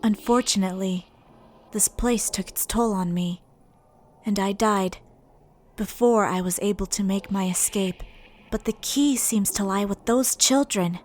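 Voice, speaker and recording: A woman voicing a composed, solemn dead schoolgirl in a game dub, speaking in a measured, formal way. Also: medium pitch, darkish tone, close to an everyday voice. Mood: grave. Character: solemn dead high school paranormalist girl ghost. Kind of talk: anime game fan dub acting.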